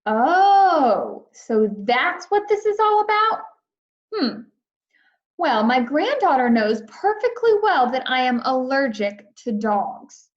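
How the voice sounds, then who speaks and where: Casting: haughty, firm grandmother put on by a woman, snappish and condescending, high and deliberate, storybook style. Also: sing-song, highs cut off, exaggerated voice. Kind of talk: children's book read-aloud.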